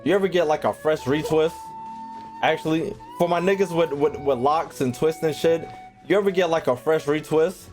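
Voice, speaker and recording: A man's voice: fairly high pitch, normal speed. A man giving casual, blunt gaming commentary as a streamer, full of slang and swearing, with game music behind. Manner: blunt, irreverent, profane.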